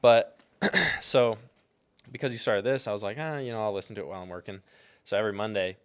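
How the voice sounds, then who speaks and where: A man's voice: on the low side, fairly quick, smooth. A man with an American accent chatting casually as a podcast guest, telling things in a rambling, informal way peppered with "like" and "dude".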